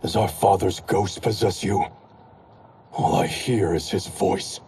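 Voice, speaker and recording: A cold, arrogant grand master. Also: male voice, sits low, fairly even intonation, slow pace, mellow, a little hiss or hum. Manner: cold, contemptuous. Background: orchestral game music.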